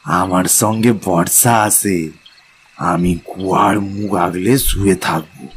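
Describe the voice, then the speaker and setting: A man's voice: low-pitched, steady tone, a little hiss or hum. A man playing a humble, deferential rustic porter in an audio story, drawling his words respectfully. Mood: reassuring. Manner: slow, drawn-out, deferential speech. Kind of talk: audio story character acting.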